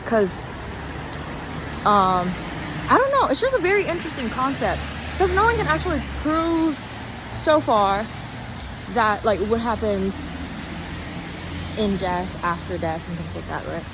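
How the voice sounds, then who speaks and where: Casting: young woman vlogger, higher-pitched and very lively intonation, candid stop-start rambling, recorded outside amid clear street noise. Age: young adult. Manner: halting, self-interrupting, candid. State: physically tired. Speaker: vlogger and acting student. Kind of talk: casual rambling video diary. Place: outdoors on an urban walk. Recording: outdoor street noise, passing cars. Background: traffic and city ambience.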